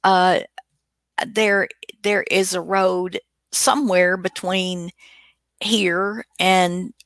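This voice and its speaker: A woman's voice: on the low side, fairly even intonation, extremely slow, fairly bright tone, no room sound, clean studio sound. A woman, hosting and casually chatting as she introduces old radio dramas. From United States. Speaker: host.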